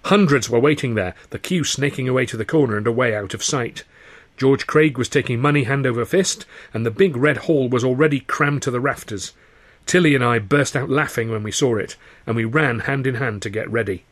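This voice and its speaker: A male narrator reads a scripted comic story in audiobook style. His pitch is middling for a man, and he tells it wryly, as the author of the tale. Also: fairly quick.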